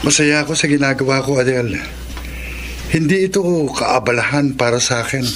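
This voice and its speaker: Actor as a mid-pitched, persistent earnest suitor. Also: male voice, brisk, a bit bright, slight background noise, close to an everyday voice. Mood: courting. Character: persistent, moralizing male suitor, affectionate. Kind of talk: Filipino radio-style drama acting.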